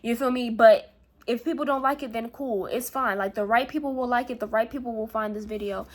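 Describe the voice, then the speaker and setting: Woman vlogger, slightly high pitch, rambling and candid, keeps checking in with the viewer. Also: brisk. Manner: rambling, repeats herself, frequent "you know what I'm saying"; candid, casual swearing. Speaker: spiritual vlogger. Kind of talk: casual spiritual monologue to camera.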